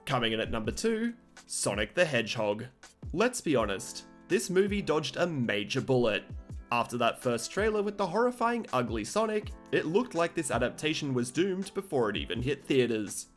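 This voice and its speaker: Male video host for scripted countdown narration, lively intonation, light music bed. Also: mid-range voice.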